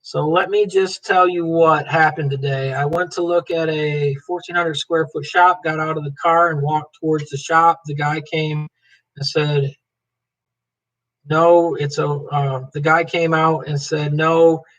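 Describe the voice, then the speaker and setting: Bright-voiced man chatting on a livestream. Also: fairly high pitch, level tone, unhurried, poor audio. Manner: rambling, joking, chats to viewers by name. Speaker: livestream host. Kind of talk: casual livestream chat reading comments.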